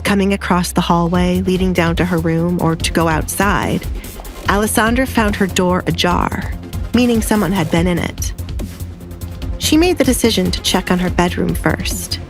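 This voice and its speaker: A woman's voice: lowish voice, normal speed. A woman reading scripted dramatic fiction as an audiobook narrator, with music behind her.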